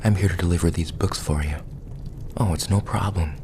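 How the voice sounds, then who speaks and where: A man playing a polite, nosy bookshop delivery man with an ominous edge, in a casual, slightly halting ASMR roleplay style. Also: deep voice. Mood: polite, curious. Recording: clearly noisy background.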